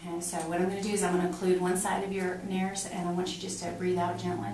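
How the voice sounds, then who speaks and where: A woman's voice: fairly low pitch, monotone, fast talker, a little mellow. Middle-aged female clinician, instructing step by step, distant mic in a reverberant, noisy room. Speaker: clinical examiner demonstrating a physical exam. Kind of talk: instructional exam talk, explaining each step to a patient. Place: small cinder-block exam room, indoors. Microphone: camera mic from across the room.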